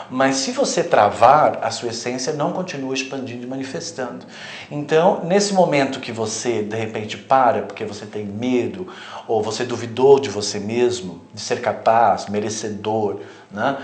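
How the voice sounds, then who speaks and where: A Brazilian man with a mid-range voice explains spiritual concepts as a studio guest. He speaks reflectively, often tagging his sentences with "né". The room has a noticeable echo. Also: medium pitch. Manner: reflective, explanatory, frequent "né" tags. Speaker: therapist, guest. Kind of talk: spiritual interview talk.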